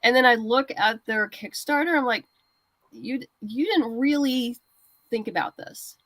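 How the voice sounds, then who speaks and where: A woman's voice: a little high, a little slow, fairly bright tone, dry recording. A woman speaking as a guest creator in a casual interview, giving practical advice in a conversational way. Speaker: guest writer and comic creator. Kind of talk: casual interview chat about crowdfunding.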